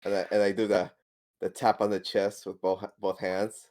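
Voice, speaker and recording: Low, dark-voiced man, halting delivery. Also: flat delivery. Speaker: player in a tabletop roleplaying game. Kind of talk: casual in-character improvisation.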